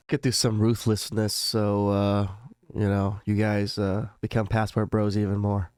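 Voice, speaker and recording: A man's voice: fairly low pitch, flat delivery, unhurried, darkish tone, smooth, no room sound. A man hosting a podcast, reacting to clips in a laid-back, casual way with some swearing. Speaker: podcast host.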